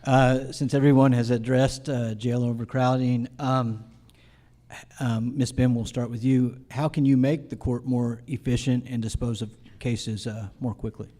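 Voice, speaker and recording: A man's voice: mid-range voice, steady tone, a little slow, mellow. A man moderating a candidate forum, calling on speakers and putting questions to them.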